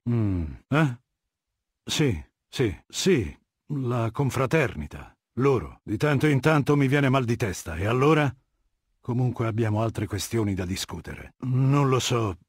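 A male actor playing an imperious, menacing middle-aged warlord in a video game, commanding, cold and condescending, with a husky voice. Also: mid-range voice, dry recording, clean studio sound. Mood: irritable, unwell. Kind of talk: video game dialogue, Italian dub.

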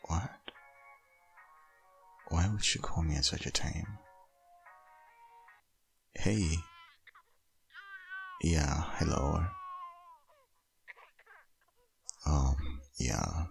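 A man playing a caring, sleepy young father and ex-boyfriend in an ASMR audio roleplay, gentle and hesitant, with a low husky voice. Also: animated, very slow, pauses often, soft background music, heavily acted. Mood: sleepy, puzzled.

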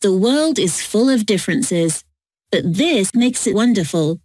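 Woman textbook narrator, slow and measured, dry studio sound. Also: medium pitch, expressive, darkish tone, no room sound.

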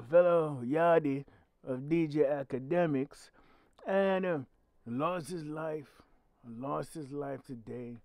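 A man with a growly, mid-pitched voice delivers solo commentary on his own channel. He talks bluntly and emphatically, swearing freely as he makes his point. The sound is dry and close, with no room echo. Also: creaky, darkish tone. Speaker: channel host.

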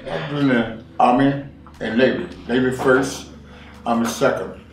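A man's voice: medium pitch, unhurried, far from the mic, slight background noise. A man in his late sixties being interviewed for a documentary, speaking plainly and repeating his points. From Washington, D.C. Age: 60s. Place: living room on a leather couch. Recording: roomy sound from off-mic.

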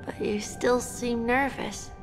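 A woman voicing an innocent, curious little boy in a video game, softly spoken and earnest. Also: fairly high pitch, steady tone, slow pace, over music. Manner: soft, earnest, questioning. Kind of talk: dramatic video game acting.

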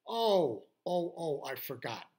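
A man voicing a calm, gentle male unicorn in a told story, speaking patiently and coaxingly. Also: a little high, slow pace.

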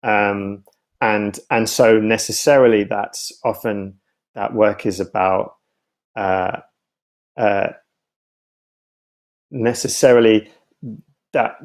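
A man's voice: on the low side, steady tone, takes it slowly, pauses often. A man in his thirties giving thoughtful interview answers on a video call, searching for words and often restarting his phrases. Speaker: guest, writer and theatre artist. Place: home room with bookshelves, indoors. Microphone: video call, wired earphones.

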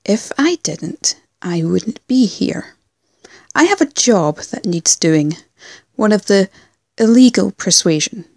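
A woman's voice: mid-range voice, lively intonation, takes it slowly, vocal fry, a little mellow, no room sound, clean studio sound, full character voice. A woman playing a cocky, menacing rogue in an audio drama, taunting and self-assured. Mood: cool menace. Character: cocky, mocking, menacing female rogue troublemaker. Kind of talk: fan audio drama acting.

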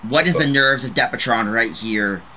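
A man's voice: mid-range voice, lively intonation, normal speed, pushed and bright, slight background noise, poor audio. A man hosting an online game broadcast, putting questions to his co-commentators and laughing easily. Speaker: broadcast host. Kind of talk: sports commentary.

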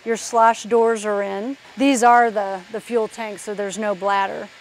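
A woman with a middle-pitched voice walks through a large aircraft workshop, explaining each part as she goes. She talks in a plain, informative way. Faint workshop ambience sits under her voice. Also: steady tone, unhurried, a little hiss or hum, low-quality recording. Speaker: factory tour guide. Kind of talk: walking factory tour.

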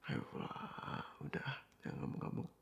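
A young man in a boyfriend roleplay, talking softly and coaxingly in a half-whisper, dropping English phrases into his speech. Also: measured pace, a little hiss or hum.

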